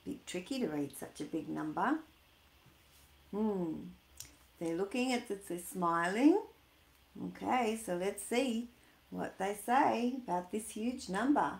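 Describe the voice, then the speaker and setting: A woman's voice: medium pitch, expressive, takes it slowly, creaky, pauses often. A woman in her sixties reading and chatting to young children in a sing-song, questioning way. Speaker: storyteller for children. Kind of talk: read-aloud and chat addressed to young children. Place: small indoor room at home. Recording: roomy, echoey home webcam sound.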